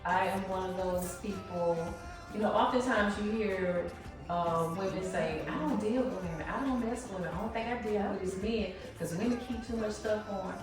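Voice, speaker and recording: A woman's voice: medium pitch, moderate pace. Woman, 50s, emphatic, preachy delivery, distant and roomy, music under. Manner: emphatic, preachy, repeats words. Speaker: trip guest. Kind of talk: casual group chat. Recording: echoey room, away from mic.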